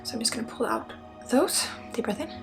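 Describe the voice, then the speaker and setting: A native Swedish woman guides a spiritual ASMR session with a fairly high, creaky voice. She drifts between soft speech and whispering, blunt and casual with halting asides. Music plays clearly behind her. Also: expressive. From Sweden. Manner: slips often between soft voice and breathy whisper. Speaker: spiritual healer and ASMR host. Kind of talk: ASMR energy healing, intimate guided talk.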